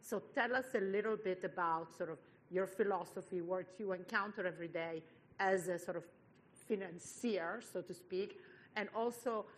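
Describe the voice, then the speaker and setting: A woman from Italy with an Italian accent, moderating a live panel discussion in an animated way. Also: mid-range voice, normal speed.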